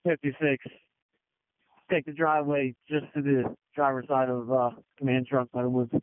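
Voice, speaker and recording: A male fire commander speaks over a two-way radio in a matter-of-fact way. His voice sits at a middle pitch, and the narrowband transmission is tinny and very dry. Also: little pitch movement, moderate pace, no echo. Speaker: fire chief in incident command. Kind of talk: emergency radio traffic, incident command.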